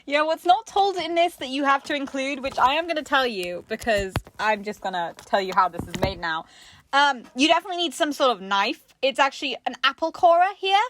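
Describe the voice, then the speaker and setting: A woman's voice: high voice, brisk, fairly bright tone, slight background noise. A woman hosting a casual comedic craft chat, giggly and playfully mocking as she talks. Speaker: craft show host.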